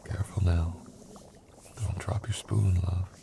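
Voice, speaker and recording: Man acting a smug, gravelly low-voiced flirt. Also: level tone, measured pace, heavy background noise. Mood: teasing, intimate.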